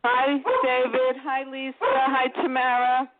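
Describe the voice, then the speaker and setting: A woman's voice: high voice, monotone, measured pace, very noisy. A woman with a New Jersey accent calling in to a talk show, friendly and chatty. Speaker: caller. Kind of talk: casual chat. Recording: phone-like call audio.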